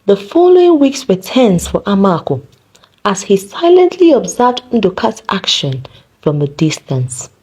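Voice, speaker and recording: A woman narrating a scripted folktale in a dramatic, deliberate storytelling manner. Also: medium pitch, a little mellow, husky, rough recording.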